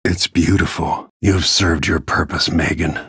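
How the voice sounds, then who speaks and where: An actor playing a grim, weary middle-aged bodyguard turned assassin in a video game, speaking tersely with a gravelly, husky voice. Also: male voice, deep voice, steady tone, a little slow, sharp tone, no echo, clean studio sound, heavily acted. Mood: grim, restrained. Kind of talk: scripted game voice lines, short dramatic remarks.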